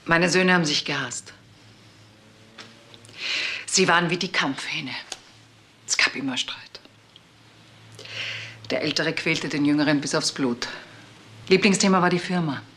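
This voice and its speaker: An actress playing a bitter, betrayed middle-aged wife and mother who confesses haltingly in a crime radio play. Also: low voice, fairly bright tone, slight background noise. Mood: strained confessing.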